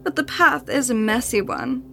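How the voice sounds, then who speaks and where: A woman's voice: fairly high pitch, very animated, moderate pace, gravelly, bright, forceful tone, faint music behind, heavily acted. A woman playing a seductive, playfully cruel female spirit in an audio horror drama, teasing and sinister, sometimes dropping toward a whisper. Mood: scornful, commanding.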